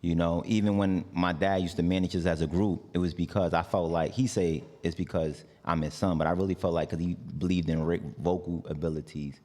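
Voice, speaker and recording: A man with a very deep voice and a New York accent chats through his story in a relaxed, rambling way. He leans on fillers and trails off between thoughts. The sound carries a long hall reverb. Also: brisk, hardly any pauses. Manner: relaxed, rambling, lots of "like" and "you know". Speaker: guest, singer and artist. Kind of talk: casual interview chat.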